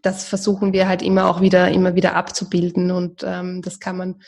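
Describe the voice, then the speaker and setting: A woman's voice: fairly low pitch, monotone, a little fast, darkish tone. A woman who runs a charity association, answering interview questions hesitantly with many fillers and restarts.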